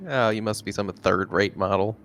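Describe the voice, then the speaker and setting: A man's voice: on the low side, fairly even intonation, moderate pace, a bit bright. A man chatting casually and jokingly as a player in a tabletop game. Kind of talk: casual chat during a game.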